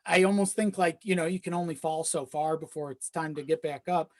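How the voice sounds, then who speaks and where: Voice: medium pitch, steady tone, fairly quick, a little mellow, no room sound, studio quality. A podcast guest chatting casually and telling personal stories in a rambling way, swearing freely and often chuckling mid-sentence. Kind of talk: casual chat, personal storytelling.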